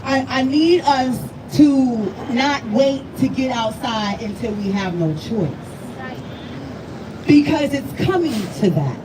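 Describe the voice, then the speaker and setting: A woman's voice: sits high, lively intonation, slow. A woman community organizer giving an emphatic, rousing speech at an outdoor rally. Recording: distant mic with loud street noise.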